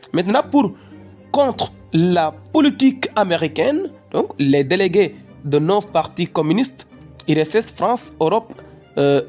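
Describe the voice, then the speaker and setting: An eager, deferential student character in an educational cartoon , voiced by an amateur actor, explaining a lesson haltingly. The pitch sits in a middle range, with faint music underneath. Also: darkish tone. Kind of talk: educational cartoon dialogue, lecture-like recitation.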